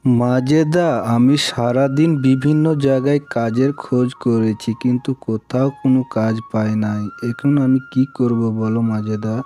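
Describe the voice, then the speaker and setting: A man's voice: medium pitch, fairly even intonation, moderate pace, dark tone, lightly acted. A man playing a poor, earnest village father in a cartoon, plaintive and pleading, with music behind him. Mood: worried. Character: poor, worried village father seeking work, pleading and devout. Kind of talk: cartoon voice acting.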